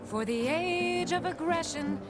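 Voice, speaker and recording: A woman playing a tavern bard who sings a slow, proud war ballad in a fantasy video game. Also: very high voice, over loud music. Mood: proud, singing. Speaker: tavern singer. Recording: loud musical accompaniment.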